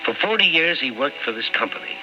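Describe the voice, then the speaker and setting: A man's voice: mid-range voice, lively intonation, a little fast, natural voice. A man playing a wry, skeptical storyteller in an old-time radio drama, with dry, world-weary delivery. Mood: wry narrating. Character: wry, skeptical male night-beat storyteller. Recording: dull old radio recording with music.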